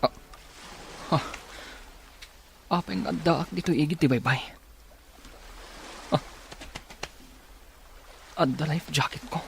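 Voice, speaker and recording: A man with an Ilocano accent playing a confused but helpful shipwreck survivor in a radio drama, speaking haltingly with gasps. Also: a little high, vocal fry, slight background noise. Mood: dazed, alarmed. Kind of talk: Filipino radio drama acting.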